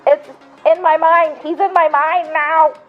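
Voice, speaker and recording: Dreamy, deadpan young spooky-podcast cohost voiced by a woman, high voice, muffled recording. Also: unhurried, soft background music. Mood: spacey. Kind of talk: comedy sketch promo. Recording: muffled lo-fi audio.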